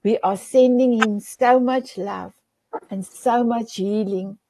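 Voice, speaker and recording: Woman hosting an online show, afrikaans first language, gushy and warm with her guests, dry close sound. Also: a little high, slow, dry recording, poor audio. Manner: effusive, repeats "beautiful", searches for words. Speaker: show host. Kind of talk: casual talk show hosting.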